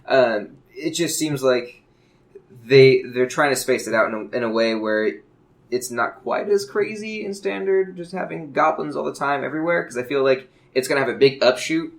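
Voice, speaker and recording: A man's voice: medium pitch, normal speed, creaky. Man chatting enthusiastically on a gaming podcast. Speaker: podcast co-host discussing a card game. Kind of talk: casual chat.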